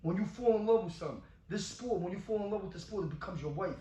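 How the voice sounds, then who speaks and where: A man speaking quickly and emphatically, cursing freely and repeating his points. His voice sits a little high. The room around him is echoey. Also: mellow, distant mic. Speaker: co-host, former fighter. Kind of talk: casual chat.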